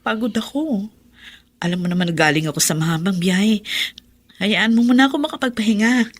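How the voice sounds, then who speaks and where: A woman playing a young wife just back from working abroad in a Filipino radio drama, speaking in a tired, soft, pleading way. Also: mid-range voice, brisk, close to an everyday voice. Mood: weary.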